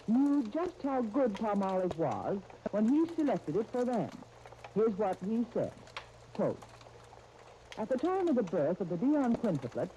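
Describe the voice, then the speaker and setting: A woman's voice: a little high, warm tone. An American woman reading a scripted commercial as a 1930s radio spokesperson. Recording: noisy, dull 1930s radio disc recording.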